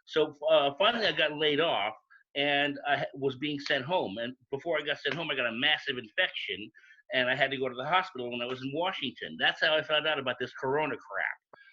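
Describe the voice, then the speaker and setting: A man's voice: mid-range voice, fairly quick, bright, forceful tone, slight background noise. A man chatting casually on a video call, telling stories in a blunt, emphatic way. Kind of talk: casual storytelling chat. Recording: dull, lo-fi call audio.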